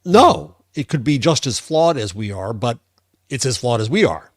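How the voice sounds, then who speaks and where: A man's voice: mid-range voice, big pitch swings, moderate pace, dry recording. Reflective American man, dry podcast sound. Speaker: podcast co-host. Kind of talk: casual tech podcast discussion.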